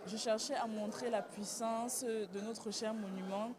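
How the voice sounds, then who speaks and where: A woman's voice: fairly high pitch, steady tone, a little slow. A woman fashion designer talking in an interview in an earnest way. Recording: crowd noise behind.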